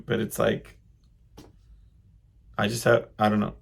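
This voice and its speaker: A middle-aged man with a low, gravelly voice talks alone as a podcast host. He thinks aloud in a casual, halting ramble, circling back over his own phrases. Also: monotone. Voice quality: loud voice. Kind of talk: casual solo monologue, personal reflection.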